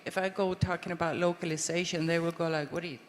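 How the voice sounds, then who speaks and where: Woman panelist, grew up in Sweden, halting and self-correcting, reverberant hall mic. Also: on the low side, steady tone, a little hiss or hum. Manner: thinking aloud, with many ums, restarts and sighs. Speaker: panelist from a government aid agency. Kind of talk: panel discussion answer.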